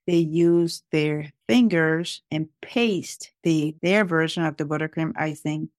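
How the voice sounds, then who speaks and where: A woman's voice: fairly low pitch, no echo, clean studio sound. A middle-aged woman from Liberia, telling stories conversationally as a podcast guest. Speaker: podcast guest, home cake business owner. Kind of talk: conversational interview storytelling.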